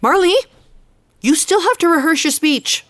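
A woman's voice: very high voice, moderate pace, a bit bright, dry recording. An actress playing an earnest, exacting mother devoted to tradition in an audio drama, with an insistent, instructive manner. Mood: exasperated, coaxing. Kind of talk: naturalistic audio drama dialogue.

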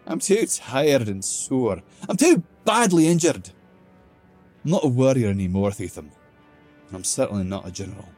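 A man voicing a battered old male dwarf warrior who slurs his words and mocks with loud sarcasm. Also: mid-range voice, sing-song, normal speed, soft background music, pitched above the performer's own voice. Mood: self-pitying. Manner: slurring, loud and mocking sarcasm.

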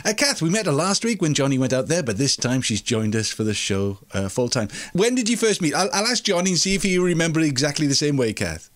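A man's voice: a little high, very animated, fast, few pauses. A man hosting a chat show, asking his guest jokey, enthusiastic questions. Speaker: host. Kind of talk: casual interview chat.

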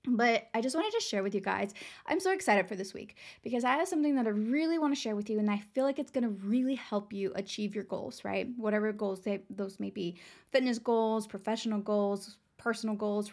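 A young adult woman from Texas hosting a casual motivational podcast, her voice raspy and worn, chatty and upbeat as she talks straight to her listeners. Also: medium pitch, brisk, a little mellow. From San Antonio, Texas. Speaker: podcast host.

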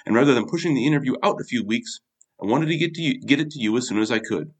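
Fast-talking male podcast host, mid-pitched, friendly, conversational. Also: animated, breathy edge. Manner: brisk scripted intro, then friendly interjections. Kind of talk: podcast interview.

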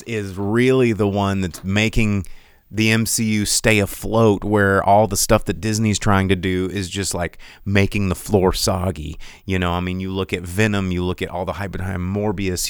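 A man hosting a casual solo podcast, rambling with many restarts and animated asides while he reads chat. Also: low voice, smooth, no echo, clean studio sound. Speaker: podcast host.

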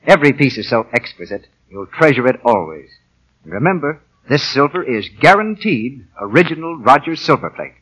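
A man's voice: mid-range voice, very animated, normal speed, a bit bright, breathy edge, rough recording. A man announcing in a polished, salesmanlike 1940s radio advertising style. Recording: 1940 radio broadcast.

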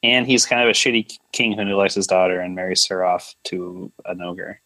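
A man's voice: low voice, fairly even intonation, brisk, pushed and bright, no room sound, low-quality recording. A man co-hosting a podcast in casual chat, playfully riffing with short quips. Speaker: podcast co-host.

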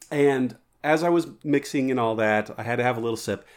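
Male podcast co-host, rambling and jokey, average pitch, easygoing storyteller. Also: animated, moderate pace. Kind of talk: casual podcast banter.

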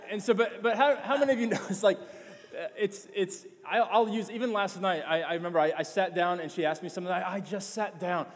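A man delivers a sermon with rising conviction, stacking phrases and repeating words for emphasis. His voice sits a touch high for a man. A long reverb trails behind him in a large church hall. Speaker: pastor.

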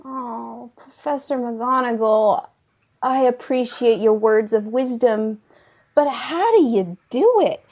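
A woman plays a disillusioned young witch at school, cynical and tired. Her voice is a bit high, and she speaks slowly and dryly, as in improvised comedy. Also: darkish tone, husky, heavily acted. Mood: jaded, questioning. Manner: weary, dry, deliberate. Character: jaded, cynical young female magic student.

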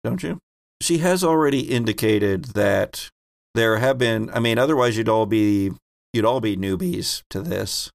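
A man's voice: fairly low pitch, a little slow, vocal fry. A man speaking casually as game master, guiding players through their choices in a tabletop game.

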